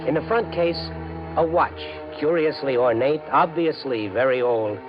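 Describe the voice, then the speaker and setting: A man's voice: on the high side, unhurried, lightly acted. An American actor playing a desperate everyman gambler in a 1950s radio drama. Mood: tense, brooding. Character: desperate everyman gambler, a husband narrating his troubles. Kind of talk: 1950s radio drama acting, first-person narration. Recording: dull 1950s radio recording, music behind.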